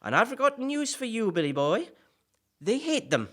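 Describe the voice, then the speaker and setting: Woman playing a lively, cheeky male podcast host. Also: fairly high pitch, moderate pace. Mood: heated, mocking. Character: chatty, cheeky male celebrity actor hosting a podcast. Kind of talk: audio play dialogue in interview form.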